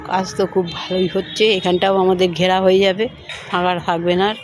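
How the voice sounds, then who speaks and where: A woman's voice: lowish voice, monotone, fast, over music. A middle-aged or older woman, chatting casually to viewers as she narrates her daily home life, on a slightly noisy handheld recording. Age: middle-aged or older, has grandchildren. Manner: chatty, addresses viewers as friends. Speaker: home vlogger.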